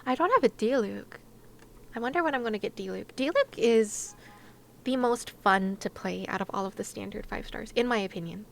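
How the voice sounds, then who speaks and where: A woman's voice: mid-range voice, lively intonation, moderate pace, vocal fry, slight background noise. A woman chatting casually to viewers on a game livestream, with a playful, giggly, chatty manner. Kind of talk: casual livestream chat while gaming, talking to viewers.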